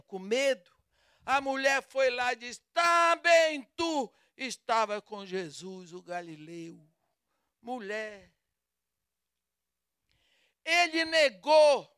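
A woman with a Brazilian accent delivers a sermon, taking her time over each phrase. Her voice is smooth and clear, close and dry with no room sound, and she acts out the quoted lines with drama. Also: on the high side, fairly bright tone, dry recording. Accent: Brazilian Portuguese. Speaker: preacher.

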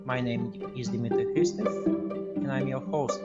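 A man's voice: medium pitch, steady tone, normal speed. Bulgarian-accented man hosting a podcast. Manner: polite, hesitant with fillers. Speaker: podcast host. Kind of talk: podcast interview. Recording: roomy, dull audio with faint music.

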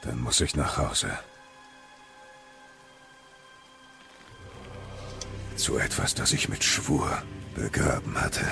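A man playing a gruff, brooding warrior god and father in a video game audio drama, gravelly and terse. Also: extremely low pitch, lively intonation, bright, forceful tone, faint music behind, full character voice. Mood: grim, subdued. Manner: terse, slow, heavy delivery.

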